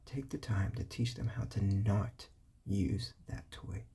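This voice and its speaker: Male parent, hushed breathy delivery, low gravelly fry, calm and repetitive. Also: slow. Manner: calm, patient, repeats his points. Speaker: parent giving parenting advice. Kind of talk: whispered advice talk.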